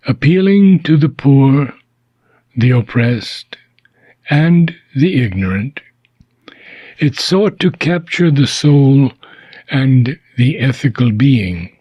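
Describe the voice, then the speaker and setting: Guy reading a philosophy book out loud, really slow and husky, solemn, lots of pauses, dry close sound. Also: medium pitch, no echo, muffled recording. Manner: measured, deliberate, with frequent pauses between phrases.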